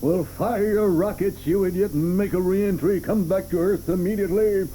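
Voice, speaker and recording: A man's voice: high-pitched, steady tone, normal speed, mellow, slight background noise, lightly acted, low-quality recording. An actor playing a pompous, short-tempered male president who barks orders, in a 1980s studio radio play. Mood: blustering. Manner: blustering, barking orders.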